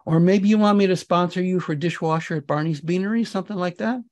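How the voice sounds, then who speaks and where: A man's voice: high-pitched, quick pace, darkish tone, muffled recording, pitched above the performer's own voice, lightly acted. A man voicing a wisecracking, streetwise hotel boss with a punchy, slangy, needling delivery in a story reading. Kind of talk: audiobook-style character dialogue. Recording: live reading at an event.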